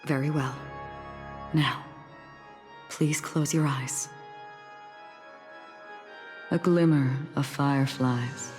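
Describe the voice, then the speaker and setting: A low-voiced solemn guide, measured and poetic, music under. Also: female voice, steady tone, darkish tone, heavily acted. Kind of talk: video game dub. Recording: game cutscene with music underneath.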